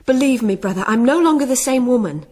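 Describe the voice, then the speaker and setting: A woman's voice: on the high side, expressive, fast, a little mellow. An actress playing a headstrong, earnest young noblewoman in a radio drama. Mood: remorseful, intense.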